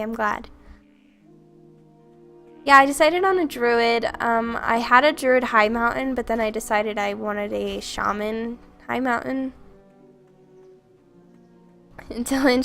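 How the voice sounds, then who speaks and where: A woman's voice: a little high, gravelly, fairly bright tone. A young woman streaming a game, chatting casually and rambling to chat while congested and stuffy from a cold.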